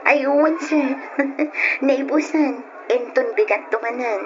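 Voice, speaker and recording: A woman's voice: sits high, takes it slowly. A woman playing a proud, hardworking market vendor and wife in her thirties, earnest and emotional in an old-style radio drama. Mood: polite, cheerful. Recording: muffled radio recording, faint noise.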